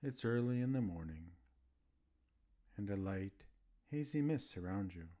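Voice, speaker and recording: A man narrating a scripted guided relaxation as a meditation host, soothing, with gentle pauses between phrases. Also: lowish voice, animated.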